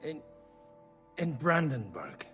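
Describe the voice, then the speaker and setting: An actor playing an earnest, halting captive priest in a video game cutscene. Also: male voice, fairly high pitch, big pitch swings, fairly bright tone, heavily acted. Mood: anxious, cooperative. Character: captive male priest, earnest, pressed into confessing. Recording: music behind the voice.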